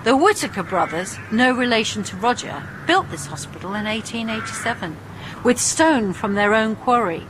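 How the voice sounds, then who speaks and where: An actress playing a possessive, quietly commanding female ghost in an intimate radio horror drama. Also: on the high side, expressive, moderate pace, noisy background, lightly acted. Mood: coolly insistent.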